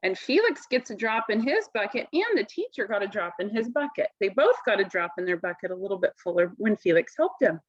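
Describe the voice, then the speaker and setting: A woman reading a picture book aloud to children, expressively, pausing to explain it in simple words. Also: mid-range voice, very animated, highs cut off.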